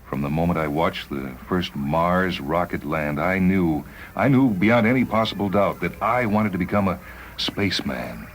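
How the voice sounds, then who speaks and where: A man's voice: deep voice, expressive, normal speed. A man playing a dying spaceman who reflects wistfully and earnestly, with a hesitant dramatic delivery, in a dramatized short story. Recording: noisy vintage radio drama recording.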